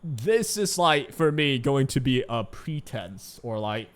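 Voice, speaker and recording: A young man who grew up speaking Chinese talks through game news in loose, rambling commentary. His voice sits at an ordinary middle pitch, and he keeps tossing in "right?" to check in with his viewers. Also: sing-song, slow pace. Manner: chatty, rambling, frequent "right?" asides. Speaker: gaming YouTuber. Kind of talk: casual commentary.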